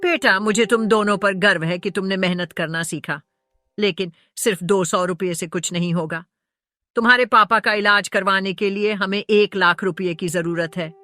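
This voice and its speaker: Bright-voiced worried village mother played by a woman. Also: mid-range voice, normal speed, lightly acted. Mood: proud but worried. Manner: earnest, anxious. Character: worried, tender village mother of grown sons. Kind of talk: Hindi moral cartoon story dialogue.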